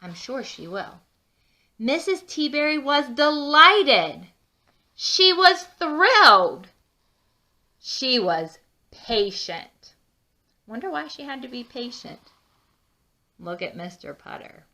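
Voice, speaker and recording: A woman reading a children's storybook aloud with expressive, drawn-out emphasis and chatty asides. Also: sits high. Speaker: children's book read-aloud narrator.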